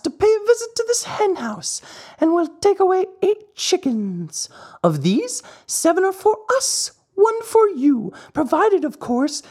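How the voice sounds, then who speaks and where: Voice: very high-pitched, a little mellow, voice pushed up, exaggerated voice. A storybook reader voicing a sly, scheming male weasel thief who coaxes and bargains. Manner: wheedling, persuasive.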